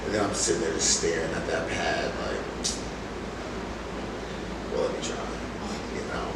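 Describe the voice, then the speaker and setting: A young man telling stories casually in an interview as a rapper, with animated, slangy talk and acted-out quotes. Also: rumbling low, normal speed, creaky. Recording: very echoey, distant, loud background noise.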